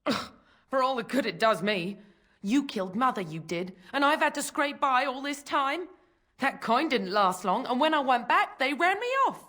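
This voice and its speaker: An actress playing a bitter, grasping, poor mother of five in a fantasy video game, scornful and sharp-tongued, with rough uneducated grammar. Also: high-pitched, lively intonation, normal speed, full character voice.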